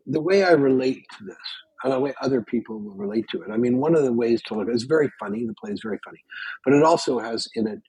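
An older man from Canada, whose first language was Yiddish, telling stories in a casual podcast chat with lively restarts. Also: medium pitch, fast talker, vocal fry, slightly rough, no room sound, poor audio. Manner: animated storyteller with frequent restarts. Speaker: guest.

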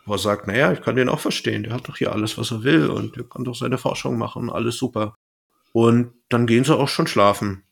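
A man's voice: mid-range voice, a little fast, gravelly, husky. A man co-hosting a podcast, retelling a story in casual chat with colloquial, jokey phrasing. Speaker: podcast co-host.

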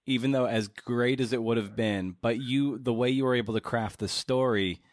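A man's voice: mid-range voice, fairly even intonation, no echo, clean studio sound. A man interviewing casually as a podcast host, thoughtfully feeling out his phrasing as he goes. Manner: thoughtful, halting, feels out phrasing.

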